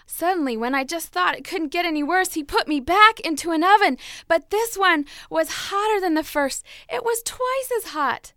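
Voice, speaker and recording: A woman's voice: extremely high pitch, lively intonation, normal speed, hardly any pauses, a bit bright, dry recording, studio quality, pitched above the performer's own voice, exaggerated voice. A woman voicing a fragile, dramatic talking teacup that pleads breathlessly as it tells its story. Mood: desperate.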